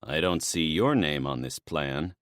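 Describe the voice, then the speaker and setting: A man voicing a grumbling, reluctant male god with a gruff, irritable manner. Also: a bass voice, lively intonation, measured pace, fairly bright tone, no room sound, studio quality, heavily acted. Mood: irritated.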